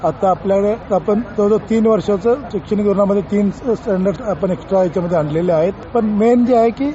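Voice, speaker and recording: A man's voice: high-pitched, a little fast, warm tone. A man speaking as an official in an interview, explaining informally and mixing in many English terms. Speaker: senior education official being interviewed. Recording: dull interview audio, faint background noise.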